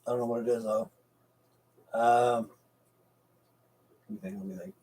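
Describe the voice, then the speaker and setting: A man's voice: mid-range voice, steady tone, normal speed, mellow, distant mic, low-quality recording. A middle-aged or older American man chatting casually and rambling to live stream viewers, with a blunt, folksy, profane way of talking.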